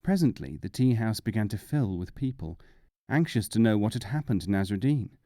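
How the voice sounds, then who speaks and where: A man's voice: on the low side, expressive, a little fast, dark tone, no room sound, studio quality. A man narrating short humorous fables as a scripted audiobook reading.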